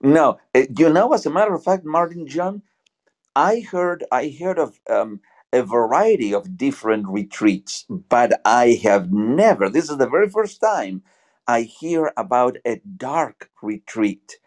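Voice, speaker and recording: A man from Argentina speaks English with a clear Argentine Spanish accent and a polite, unhurried manner. His sentences stop and restart, full of "uh" and "I mean", as he gathers his words. He sounds like a podcast guest on a video call. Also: medium pitch, lively intonation, darkish tone. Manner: halting, many fillers and restarts, polite. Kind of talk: casual conversation.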